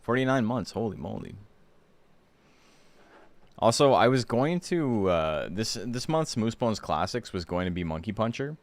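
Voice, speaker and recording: A hoarse man hosting a livestream, chatting casually and rambling with lots of fillers. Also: on the low side, unhurried, no room sound. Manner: rambling, relaxed, full of fillers. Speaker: streamer and tournament host.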